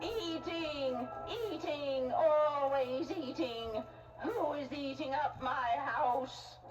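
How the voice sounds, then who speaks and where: Storybook old witch: cruel, gleeful, theatrical, very slow delivery, set back from the mic with music underneath. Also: high voice, far from the mic, poor audio. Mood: querulous. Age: older. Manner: drawn-out, gloating, cackling threats. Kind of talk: theatrical children's storybook acting.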